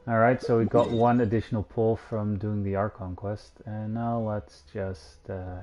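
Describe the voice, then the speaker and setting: A man's voice: lowish voice, a little mellow, highs cut off, slight background noise. A man streaming a video game, giving casual play-by-play commentary on what he does. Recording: game music behind the voice. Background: video game music.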